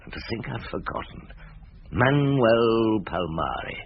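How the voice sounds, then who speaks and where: Wry British-voiced veteran police chief, lively delivery. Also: male voice, fairly low pitch, measured pace, gravelly, a little hiss or hum. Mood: musing. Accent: British English. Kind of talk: radio drama acting. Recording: muffled vintage radio drama recording.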